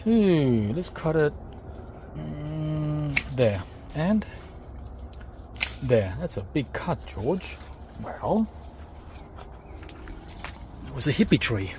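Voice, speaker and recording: A man's voice: a little high, expressive, drawn-out words, pauses often, background noise. Greek-born guy doing a garden vlog outside, worn out from digging, keeps saying guys, talks you through it. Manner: chatty, explains step by step, addresses viewers as guys. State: tired after heavy digging. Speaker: gardening channel host. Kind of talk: casual vlog talk to camera. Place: outdoors in a home garden.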